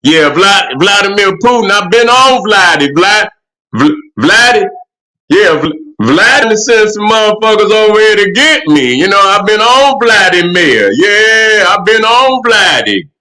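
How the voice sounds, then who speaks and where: High bright-voiced profane livestream host. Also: male voice, very animated, unhurried. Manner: profane, rambling, repeats "you know what I'm saying". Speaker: livestream host answering chat. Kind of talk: casual livestream commentary.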